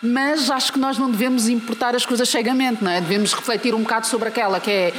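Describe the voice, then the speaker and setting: A woman born in Mozambique and raised in Portugal, with a European Portuguese accent, speaking as an interview guest in a measured, thoughtful way with frequent hesitations. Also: on the high side, fairly even intonation. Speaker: guest, founder of a professional network. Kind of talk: casual interview conversation. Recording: recording with clear background noise.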